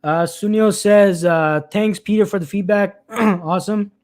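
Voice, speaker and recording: A man hosting a live career stream, answering questions in a loose, repetitive way, clearing his throat often as his voice gives out. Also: high voice, unhurried, darkish tone. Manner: loose, repeats words and restarts often.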